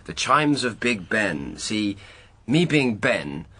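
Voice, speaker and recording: An English teenage lad in a radio drama. He has a fairly low voice and talks in a blunt, slangy, casual way, with a streak of indignation. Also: very animated, slow, sharp tone, heavily acted. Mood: casual, defensive.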